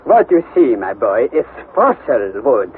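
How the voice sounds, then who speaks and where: An actor playing an eccentric, impetuous, middle-aged professor, exuberant and declamatory in a 1960s radio drama. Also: male voice, mid-range voice, very animated, a little slow. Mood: lecturing. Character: eccentric, impetuous, courageous German professor uncle. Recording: tinny, noisy 1960s radio recording.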